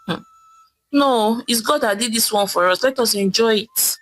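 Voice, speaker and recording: A woman's voice: a little high, moderate pace, close to an everyday voice. A woman voicing a carefree wife with a Nigerian accent, nonchalant and teasing. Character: carefree wife enjoying her husband's absence.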